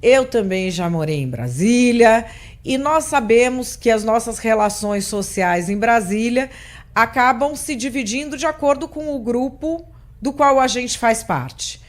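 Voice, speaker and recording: A woman's voice: fairly high pitch, lively intonation, unhurried. A middle-aged Brazilian woman hosting a political interview, speaking bluntly and indignantly in a conversational tone. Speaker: interview host. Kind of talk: political talk show interview.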